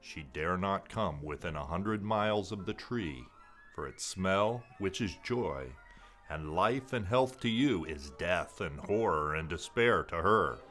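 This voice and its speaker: A man playing a majestic, solemn lion ruler in a children's fantasy drama, proclaiming with slow, commanding gravity. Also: low-pitched, expressive, a bit bright, faint music behind. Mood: grave, solemn.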